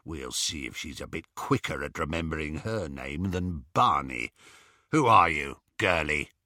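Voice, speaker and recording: A man voicing a savage, gloating male werewolf with a rasping growl and a menacing, relishing manner in an audiobook story. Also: extremely low pitch, animated, a little slow, bright, forceful tone, dry recording, clean studio sound, pitched below the performer's own voice, full character voice. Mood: sinister relish.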